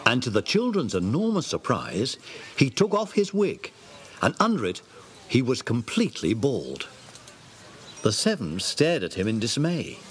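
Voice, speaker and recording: A man narrating a children's audio drama with animated storytelling. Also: mid-range voice, measured pace.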